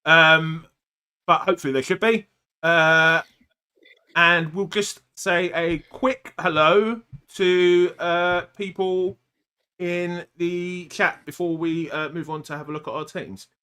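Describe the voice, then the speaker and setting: A man's voice: on the high side, fairly even intonation, slow pace, bright, forceful tone, clear, resonant tone. A man hosting a casual football fantasy podcast, chatty and full of little fillers. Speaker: podcast host.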